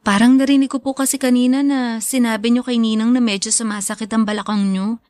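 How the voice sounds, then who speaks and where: Sweet young Filipina daughter, acted by a woman, higher-pitched. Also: fairly even intonation, fast, a little mellow, no room sound, close to an everyday voice. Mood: grateful, concerned. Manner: polite, sweet, deferential. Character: young graduate, devoted, respectful, caring grown child. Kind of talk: Filipino radio drama acting.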